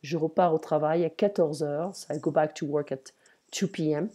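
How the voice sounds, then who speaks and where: Female language tutor on a video call, low and mellow voice, unhurried bilingual explanations. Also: steady tone. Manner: switches between French and English, slow and explanatory. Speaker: French teacher. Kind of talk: online language lesson.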